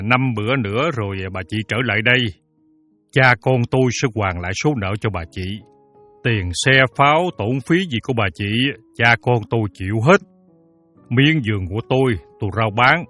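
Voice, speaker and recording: A man's voice: medium pitch, close to an everyday voice. A man acting a humble Southern Vietnamese village father. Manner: plain-spoken, polite, gently pleading. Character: humble, worried older village father, patient and conciliatory. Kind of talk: audio story drama dialogue.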